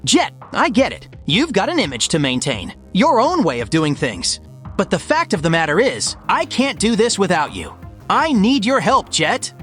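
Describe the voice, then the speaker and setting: A wry, plucky young hedgehog, played by a woman in a comic dub. He sounds casual and worn but animated, over background music. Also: medium pitch, moderate pace. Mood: earnest.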